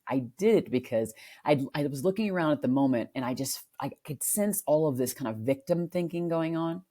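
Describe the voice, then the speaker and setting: A woman with a deep voice, a little under the weather, answering interview questions reflectively and thinking aloud. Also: warm tone. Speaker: guest author.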